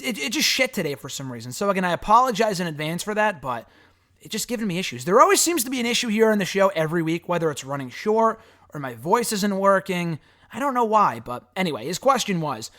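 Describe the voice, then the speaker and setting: A man with a hoarse, raspy voice hosting a wrestling show, answering fan questions in a rambling, frank and casual way. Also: high-pitched, fairly bright tone. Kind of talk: casual solo commentary.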